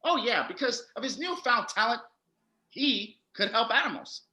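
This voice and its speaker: Performer as storybook narrator, wry, patient, crisp bright tone, animated, roomy echo. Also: medium pitch, normal speed. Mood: wry, insistent. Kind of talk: storybook narration in comic audio drama.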